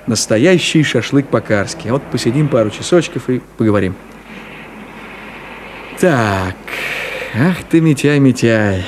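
Male actor for middle-aged city driver in a radio play: brisk, bantering, bossy, hospitable, with traffic noise behind him. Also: medium pitch, lively intonation, lightly acted. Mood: chatty, wry. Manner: bantering, chatty, bossy, colloquial. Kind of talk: naturalistic 1970s Soviet radio play acting. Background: car and street traffic ambience.

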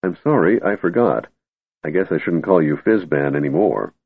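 A man voicing a small, excitable male kender who chatters breathlessly. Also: deep voice, moderate pace, creaky, breathy edge, no echo, full character voice. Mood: sheepish. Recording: tinny phone-like dry recording.

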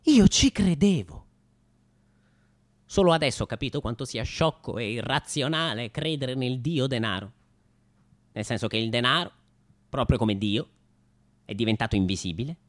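A man's voice: mid-range voice, lively intonation, moderate pace, long pauses. A man in his late twenties performing a satirical radio comedy monologue, theatrical and mock-nostalgic, with rhetorical questions and hesitant repetitions. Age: late 20s.

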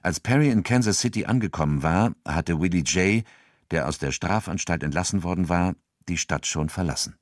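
A man's voice: deep voice, moderate pace, no echo, studio quality, natural voice. A man acting as the sober narrator of a crime audio drama, delivering scripted literary narration.

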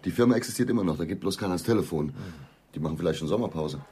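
Very deep-voiced, sober investigator acted by a man. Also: rapid-fire, mellow, a little hiss or hum, close to an everyday voice. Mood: matter-of-fact. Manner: probing, matter-of-fact. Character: methodical, sober male police investigator. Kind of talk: German radio drama acting.

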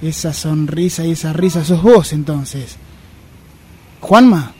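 A man's voice: fairly high pitch, slow, a little mellow, a little hiss or hum. A man with a Buenos Aires accent playing a lovestruck, insistent, awkward man in amateur radio theatre, earnest and hesitant. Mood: eager. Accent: Argentine, Buenos Aires.

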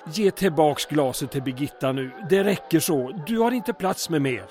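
A man acting a patient, indulgent small-town mayor in a radio drama, fatherly and gently firm. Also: fairly high pitch, animated, soft background music.

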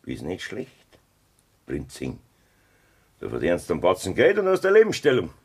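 An actor with a Bavarian accent playing a cruel, scheming farmer husband in a 1970s radio play, with a gravelly, husky voice. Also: male voice, low-pitched, wildly swooping pitch, normal speed, exaggerated voice. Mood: menacing.

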